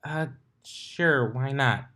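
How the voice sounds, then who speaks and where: Man voicing a fretful bookish female unicorn, pitched up. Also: drawn-out words, pushed and bright. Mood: patient. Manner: fretful, self-debating, dryly exasperated. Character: bookish, bossy young female unicorn pony magician.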